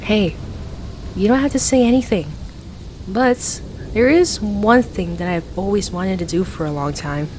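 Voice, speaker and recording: A woman's voice: mid-range voice, measured pace, darkish tone, a little hiss or hum, lightly acted, poor audio. A woman playing a playful, affectionate young best friend with a hesitant, earnest way of talking, in an ASMR roleplay audio. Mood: tender, affectionate.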